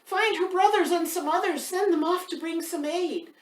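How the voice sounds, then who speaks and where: A woman's voice: very high-pitched, moderate pace, voice pushed up. A woman reading a picture book aloud as a frantic, hysterical mother urgently calling for help in rhyme. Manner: urgent, breathless exclaiming. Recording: roomy, distant mic, faint noise.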